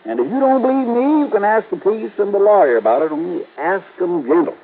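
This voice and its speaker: An actor playing a folksy small-town insurance agent in a 1950s radio drama, with a homespun drawl. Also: male voice, high voice, very animated, fast. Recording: tinny old radio broadcast, faint hiss.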